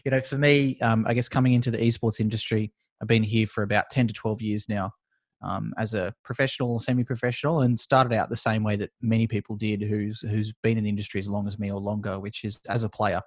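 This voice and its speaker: An Australian man in his thirties, a podcast guest talking in a relaxed, rambling and friendly way with many filler words. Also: fairly low pitch, fast talker, no echo, studio quality. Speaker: guest, esports business founder. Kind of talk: casual interview chat.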